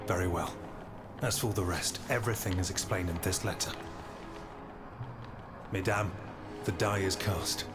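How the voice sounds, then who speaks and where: An actor playing a secretive gentleman conspirator in a video game, formal, assured and urgent. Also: low voice, fairly even intonation, measured pace, long pauses. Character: brisk, secretive gentleman conspirator planning an escape. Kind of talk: dramatic video game cutscene acting. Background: music.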